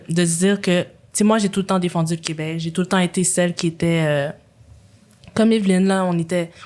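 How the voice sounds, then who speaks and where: A young woman from Quebec with a Quebec French accent, hosting a casual conversation and talking in long, rambling stretches full of fillers. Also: fairly low pitch. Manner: animated, rambling with frequent fillers. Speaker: host.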